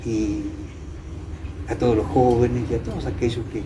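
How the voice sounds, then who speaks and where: An older man with an Argentine accent, reminiscing as an interview guest in a halting way, often repeating words for emphasis. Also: mid-range voice, little pitch movement. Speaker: interview guest, theater and singing teacher. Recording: clear background noise, ambient room sound.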